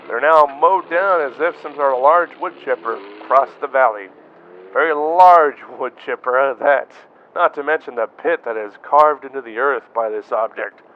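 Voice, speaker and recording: A man's voice: mid-range voice, normal speed, a little hiss or hum, close to an everyday voice. A man playing an eager field reporter on a tinny line. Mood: excited. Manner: excited, inquisitive reporting. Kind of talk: amateur radio drama acting.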